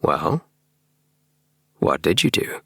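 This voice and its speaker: A man voicing a calm, sceptical friend in an audiobook, measured and dry in manner. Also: extremely low pitch, creaky, breathy edge, no echo, pitched below the performer's own voice, heavily acted. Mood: dry, curious. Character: calm, sceptical male friend listening and questioning. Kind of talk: acted audiobook dialogue.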